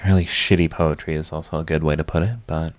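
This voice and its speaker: A man plays a sardonic supervillain with a low-pitched voice in an intimate audio drama. He is theatrical and sarcastic, yet his halting delivery betrays a secret devotion to his rival. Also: little pitch movement. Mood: tender, grieving. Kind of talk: intimate scripted audio roleplay monologue.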